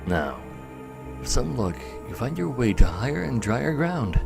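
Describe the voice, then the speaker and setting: A man's voice: mid-range voice, very animated, unhurried, gravelly, over music. A man livestreaming a game, mixing muttered commentary with dramatic readings of the game's text. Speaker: live streamer. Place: indoors, livestream with game music behind.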